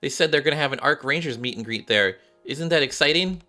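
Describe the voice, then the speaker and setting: A man voicing a shy, earnest young android gamer girl from a game story, halting and heartfelt. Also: a little high, animated, sharp tone, soft background music, pitched above the performer's own voice. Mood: awkward, rehearsing. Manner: halting, heartfelt, repeats and restarts.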